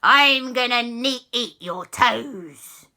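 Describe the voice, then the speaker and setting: Woman putting on a blustering, slow ghost pirate captain. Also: a little high, expressive, bright, forceful tone, voice pushed up, heavily acted. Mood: irritated threats. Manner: archaic pirate speech, drawn-out menacing delivery.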